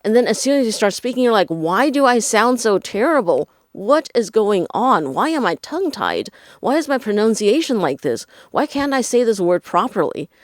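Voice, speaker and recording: A woman's voice: on the high side, moderate pace, few pauses, no room sound, studio quality. A woman from Taiwan, an English teacher, talking casually as a podcast guest and acting out the voices she quotes. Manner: animated, acts out quoted voices.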